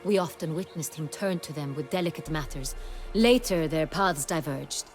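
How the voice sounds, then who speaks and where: An actress playing a commanding, regal young duchess in a video game, speaking formally and with authority. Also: female voice, sits low, normal speed. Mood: thoughtful, formal. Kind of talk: video game cutscene acting. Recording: music behind the voice.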